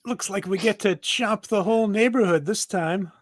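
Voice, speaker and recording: A man playing a gruff, scornful cartoon ghost gang boss, sneering and tough-talking in a table read. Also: sits high, moderate pace. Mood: menacing.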